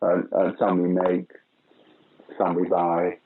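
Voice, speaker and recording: A man's voice: deep voice, completely flat delivery, slow pace. An older man, an actor and pantomime director, chatting through a casual interview and telling anecdotes with acted-out quoted voices. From Britain. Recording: dull lo-fi audio with faint noise.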